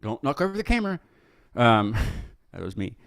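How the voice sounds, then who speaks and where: A man's voice: medium pitch, big pitch swings, normal speed, gravelly, a bit bright, dry recording, studio quality. A man casually hosting an interview show, rambling and correcting himself as he goes. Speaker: host of a music interview show.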